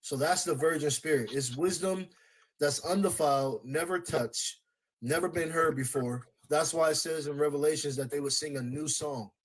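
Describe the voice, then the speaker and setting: A man with a husky, slightly breathy voice that sits a little high for a man. He preaches insistently in a debate, hammering the same points and breaking in to hold the floor. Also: moderate pace, rough recording. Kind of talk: religious debate.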